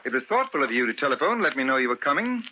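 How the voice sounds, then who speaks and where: A man's voice: mid-range voice, very animated, rapid-fire, lo-fi sound, natural voice. An actor playing a cruel, domineering older father-in-law with formal, condescending politeness in a vintage radio drama. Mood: icily polite. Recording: crackly band-limited old radio recording.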